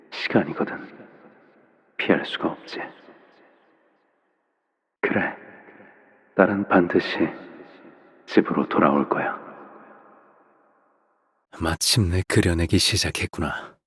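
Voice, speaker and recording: A cold yet tender middle-aged villain father from a game. His very breathy, husky voice sits low, and he talks softly and with measured condescension. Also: no room sound, full character voice. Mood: somber, knowing, then pleased, soft. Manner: measured, soft-spoken, condescending, trailing into breathy near-whispers. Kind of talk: theatrical scripted game voice acting.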